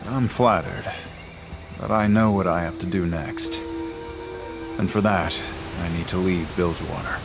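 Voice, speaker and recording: A low-voiced, brooding swordsman from a video game. He speaks slowly and wearily, with grave, clipped phrases weighed down by guilt. Music plays clearly behind him. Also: steady tone. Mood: sober. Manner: terse, grave, weary delivery. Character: brooding, guilt-ridden swordsman man on the run. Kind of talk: dramatic video game acting.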